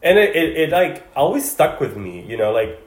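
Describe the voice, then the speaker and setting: A man's voice: on the high side, big pitch swings, normal speed, echoey room. A man co-hosting a podcast, talking in casual chat in a reflective, hesitant way, full of fillers. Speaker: podcast co-host.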